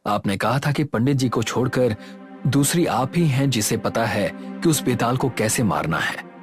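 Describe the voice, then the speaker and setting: A man's voice: medium pitch, animated, normal speed, over music, natural voice. A man voicing a determined, grieving young man in a horror story, earnest and accusing in manner. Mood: questioning.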